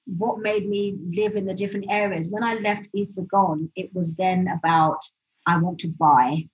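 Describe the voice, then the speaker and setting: A woman in a relaxed interview, telling stories animatedly and acting out what people said. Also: lowish voice, moderate pace. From United Kingdom. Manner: animated storyteller, acts out quoted speech. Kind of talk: casual conversational interview. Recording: slightly dull lo-fi audio.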